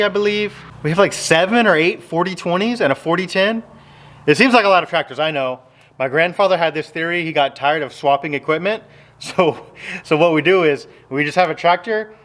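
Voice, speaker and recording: Easygoing farmer vlogging in a big barn. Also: male voice, a little high, a bit bright. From Texas. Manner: easygoing, rambling, explains step by step. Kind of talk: casual vlog talk to camera. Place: large open metal barn with tractors. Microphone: handheld phone at arm's length.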